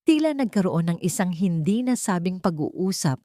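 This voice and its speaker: A woman's voice: on the low side, big pitch swings, normal speed, dark tone, no room sound, clean studio sound. A woman narrator reading a scripted story in a dramatic style.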